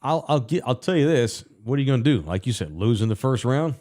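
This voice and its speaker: A man with a mid-range voice hosts a casual sports talk show. He is wry and sardonic, and he runs the sponsor reads and viewer shout-outs. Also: medium pitch, expressive, brisk. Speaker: host.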